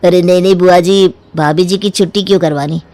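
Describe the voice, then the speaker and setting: A woman's voice: fairly low pitch, steady tone, brisk, dark tone, pitched below the performer's own voice, natural voice. A woman voicing a hesitant, respectful young married man in a story, speaking in a deferential way.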